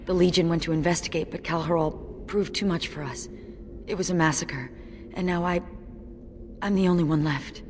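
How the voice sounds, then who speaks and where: Wry, low-voiced warrior woman, played by an actress, noisy backdrop. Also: steady tone, moderate pace, darkish tone, natural voice. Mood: grim, urgent. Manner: wry, understated, matter-of-fact. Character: brave wry female dwarf warrior, sole survivor of her legion. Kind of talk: video game voice acting.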